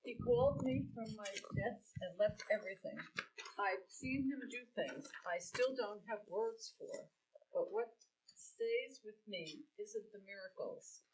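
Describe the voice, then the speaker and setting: A woman reads a dusty, peaceful man giving heartfelt testimony in a church drama. The delivery is unhurried and earnest. The voice sounds distant from the mic. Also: mid-range voice, animated, darkish tone, natural voice. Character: dusty, peaceful grown man, a longtime follower. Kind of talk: amateur church dramatic reading. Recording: voice from far off the mic.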